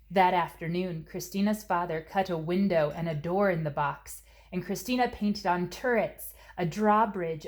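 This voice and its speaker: A woman reading a children's story aloud as narrator, with expressive, deliberate phrasing. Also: fairly low pitch. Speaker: storybook reader.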